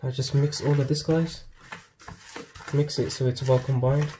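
Man explaining a recipe, flat voice. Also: mid-range voice, unhurried, a little hiss or hum. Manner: steady, instructive, often addresses viewers as guys. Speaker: cooking channel host. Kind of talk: step-by-step cooking instructions. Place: home kitchen.

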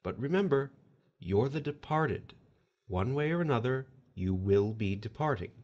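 A man's voice: mid-range voice, animated, unhurried, a little mellow, dull audio, lightly acted. An actor playing an officious otherworldly male collector of souls in an audio horror drama, wry and formal as he explains procedure. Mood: officious, explaining.

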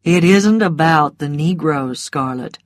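A cool, cynical man of the world from a storybook, as a woman narrator reads him. The voice is rather low, slow and matter-of-fact, recorded dry. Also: dry recording. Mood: sombre, cynical.

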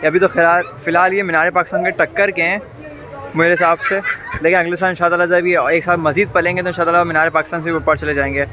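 Young pakistani guy vlogging outdoors, high voice, talks really fast, chatty. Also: flat delivery, background noise. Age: 20s. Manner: chatty, addresses viewers, repeats phrases for emphasis. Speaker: vlogger and host. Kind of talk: enthusiastic vlog commentary and street interviewing. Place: outdoors at night in a crowded tented cattle display.

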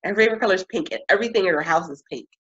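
A woman in her thirties talks on a video call in a small room at home, lively and quick to laugh. Her voice sits in a middle register. The webcam audio is slightly dull and muffled. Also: mid-range voice, breathy edge. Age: 30s. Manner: animated, laughs often, drawn-out emphasis. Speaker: coach and speaker. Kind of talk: casual interview chat.

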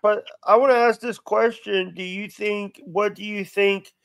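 A man's voice: high voice, fairly even intonation, a little slow, gravelly, fairly bright tone, smooth, no room sound. A man who stutters, hosting a casual podcast chat. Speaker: podcast host.

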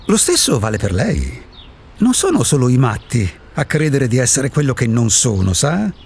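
A man's voice: medium pitch, wildly swooping pitch, normal speed, gravelly, breathy edge, exaggerated voice. An actor playing an elderly reclusive male ghost in a radio drama, a wry and contrarian philosopher with a theatrical, emphatic delivery. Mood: wry amusement.

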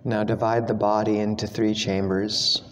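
A man leads a guided meditation in a low, even voice. He speaks slowly and gently, leaving long pauses between phrases. The recording has long hall reverb and some background noise. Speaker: monk, meditation teacher.

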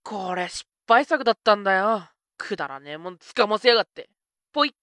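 A woman's voice: mid-range voice, animated, fairly quick, very noisy, close to an everyday voice. Woman playing a brash, slangy young witch. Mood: scornful. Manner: rough slangy speech. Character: brash, flippant, self-serving young witch. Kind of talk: comic anime-parody voice drama.

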